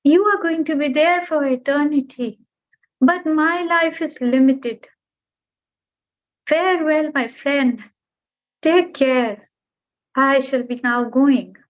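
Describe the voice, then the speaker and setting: A woman doing a tiny sweet storybook bird, very high sing-song pleading voice that sits far above her own, chatty and eager, on a dull lo-fi recording. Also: takes it slowly, long pauses. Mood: weary.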